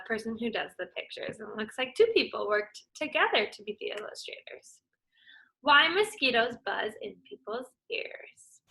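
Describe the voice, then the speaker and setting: A woman's voice: on the high side, bright, forceful tone, low-quality recording. A young woman teacher reading a picture book aloud to children, upbeat and encouraging, explaining words as she goes.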